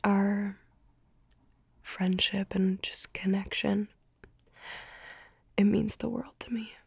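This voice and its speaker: A young woman whispering softly in an ASMR roleplay, gentle and tender, a little tearful. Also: medium pitch, level tone, slow pace, creaky, slightly rough, no room sound. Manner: soft whispering.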